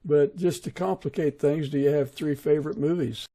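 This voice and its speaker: A man's voice: fairly high pitch, fairly even intonation, normal speed, mellow. A middle-aged or older man hosting a casual interview, asking his guest questions conversationally. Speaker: podcast host, interviewer.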